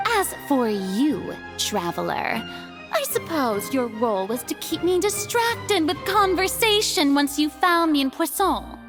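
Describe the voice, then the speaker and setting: A proud young goddess on trial in a game, high-voiced and swooping. She speaks slowly and grandly, like a stage diva, pompous with a shaken edge. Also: sing-song, over music, exaggerated voice. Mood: haughty, knowing. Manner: pompous, theatrical, grandiose delivery. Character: theatrical, haughty young female archon on trial, proud yet shaken. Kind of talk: dramatic video game voice acting.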